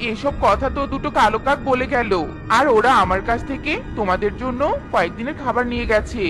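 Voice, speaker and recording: A woman's voice: on the high side, a little fast, music in the background, pitched below the performer's own voice, lightly acted. A woman voicing a kind, indulgent village auntie in a children's cartoon fairy tale, with a hearty manner. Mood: surprised. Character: kind village auntie who feeds birds.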